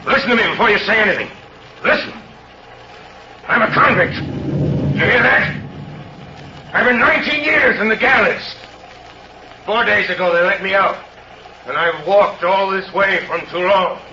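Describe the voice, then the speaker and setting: An actor in an old radio drama playing a desperate, bitter, hungry released convict who speaks in intense, halting, repeated phrases. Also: male voice, high voice. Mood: desperate, agitated. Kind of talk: 1930s-40s radio drama acting. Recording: dull, noisy old radio recording.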